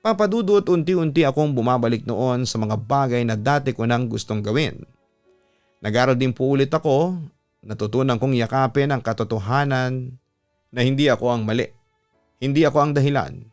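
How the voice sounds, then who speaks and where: Filipino man narrating over instrumental music. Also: medium pitch. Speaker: radio announcer and story-reading host. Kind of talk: narrating a listener's letter, then advice to the sender. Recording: music bed under the voice.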